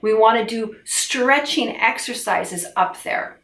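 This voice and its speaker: A woman's voice: on the high side, slow pace, far from the mic. Woman vocal coach in a roomy space. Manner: warm encouraging teacher, gestures with hands while explaining. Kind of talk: instructional video lesson. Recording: roomy sound, a little off-mic.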